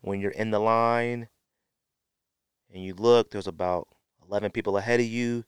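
Male American podcast host, lower register, dry recording, casual slangy storyteller who acts out dialogue. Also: normal speed, clear, resonant tone, no echo. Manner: animated storyteller acting out quotes, slangy.

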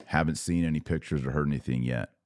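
A man with an extremely low-pitched voice, a little raspy and tired from long hours of talking. He hosts conversationally, punching out short emphatic phrases as he comments and takes live questions. The sound is dry and close, as on a studio microphone. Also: quick pace, a little mellow, no echo. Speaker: podcast host. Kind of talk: casual religious commentary, answering live comments.

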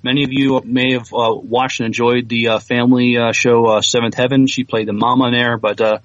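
A man's voice: mid-range voice, little pitch movement, fairly quick, no room sound, dull audio. A man co-hosting a podcast, speaking hesitantly with many fillers. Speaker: podcast co-host. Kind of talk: casual podcast chat.

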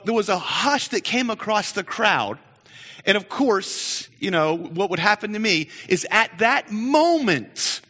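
A man preaching a sermon, telling stories in an animated way, with frequent restarts and repeated words. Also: high voice. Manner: animated storytelling, many restarts. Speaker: pastor. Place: church sanctuary. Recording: church hall reverb.

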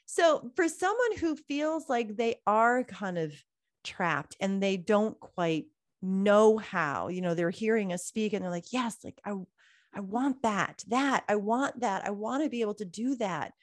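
A woman's voice: medium pitch, expressive, dry recording. Chatty woman host, dry close sound. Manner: chatty, many fillers, drawn-out words. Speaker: podcast host. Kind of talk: casual interview chat.

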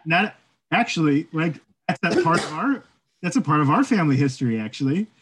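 A man's voice: on the high side, big pitch swings, a little fast, fairly bright tone, breathy edge. Casual male podcast co-host. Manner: informal, peppered with "like" and "right?". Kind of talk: casual conversational discussion of folklore and history.

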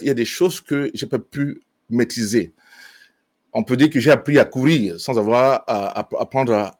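A man speaking in a hesitant, searching way, full of fillers and restarts. His voice sits at an ordinary middle pitch. The recording is dry and close, with no room sound. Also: a little mellow, no echo. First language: French. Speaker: bass student. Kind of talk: testimonial interview.

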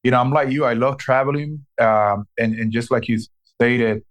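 A middle-aged man from Puerto Rico, a marketing director answering interview questions with many pauses and restarts. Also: medium pitch, level tone. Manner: hesitant, many fillers and restarts.

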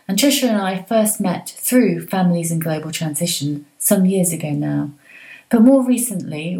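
Woman, podcast host, friendly, clear, slightly low pitch, scripted intro and chat. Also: warm tone, rough recording. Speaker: podcast host and change coach.

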